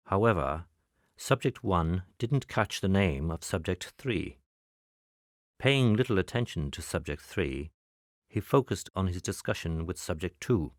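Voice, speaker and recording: A man with a very low voice delivers scripted narration in the manner of a spy film. His delivery is measured and matter-of-fact, with no emotional colouring. The sound is dry, with almost no room around it. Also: no room sound.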